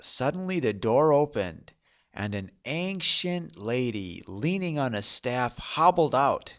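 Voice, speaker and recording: Male storyteller, mid-pitched voice, expressive fairy-tale narration, dull lo-fi sound. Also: slow.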